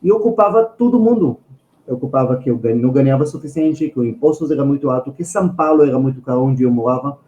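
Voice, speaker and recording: Male podcast guest with an Israeli accent: mellow tone, emphatic conversational delivery, recorded in a roomy space. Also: mid-range voice, expressive, fast, muffled recording. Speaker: guest finance expert. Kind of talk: conversational podcast storytelling and advice.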